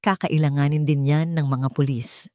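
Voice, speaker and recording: A woman's voice: low-pitched, fairly even intonation, normal speed, dark tone, no echo, clean studio sound, lightly acted. A woman with a Filipino accent voicing a composed, helpful female daycare director in an audio drama, assured and professional. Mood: helpful, certain.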